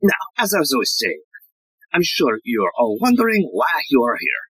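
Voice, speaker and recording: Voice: mid-range voice, big pitch swings, normal speed, a bit bright, husky, no echo, exaggerated voice. An actor playing a pompous, absent-minded, muddled police inspector in a farcical audio play. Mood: officious.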